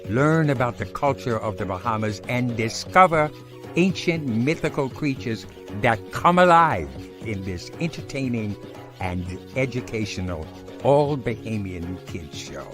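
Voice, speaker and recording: A man reading a scripted promo for a kids' show in a smooth, upbeat way. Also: mid-range voice, animated, measured pace. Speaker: ad announcer. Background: music bed.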